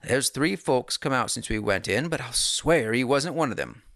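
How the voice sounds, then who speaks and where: A reader voicing a quiet, businesslike young male detective in a Victorian audiobook, matter-of-fact in tone. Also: medium pitch, expressive, normal speed, dry recording, clean studio sound. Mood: earnest. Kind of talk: audiobook character reading.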